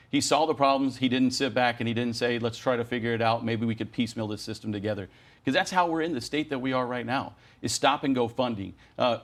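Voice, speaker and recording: A man speaking as a union leader in a television news interview, making his case earnestly and emphatically in long, slogan-like sentences. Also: medium pitch. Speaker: air traffic controllers' union president.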